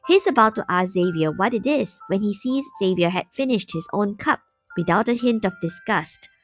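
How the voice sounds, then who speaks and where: A woman narrates a story in an expressive storybook style. Her pitch moves around a lot from phrase to phrase, and faint music plays behind her. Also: normal speed. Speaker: narrator, fan story reader.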